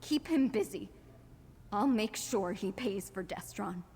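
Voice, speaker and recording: A woman's voice: medium pitch, animated, a little slow, a little mellow, breathy edge. An actress playing a grieving, vengeful young woman in a fantasy video game, intense and bitter. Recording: long hall reverb.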